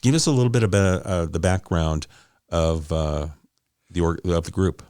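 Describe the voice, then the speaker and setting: Deep-voiced man hosting a conversational interview. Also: normal speed, darkish tone, clear, resonant tone, no echo, studio quality. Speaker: interviewer, host; law enforcement officer.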